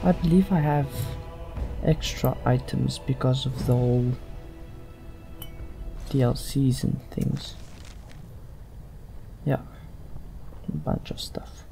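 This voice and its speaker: Let's play host: male, unhurried, gravelly edge, thinking out loud over game music. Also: mid-range voice. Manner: musing, thinking aloud. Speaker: gaming channel host. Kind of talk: casual let's play commentary with some read-aloud roleplay.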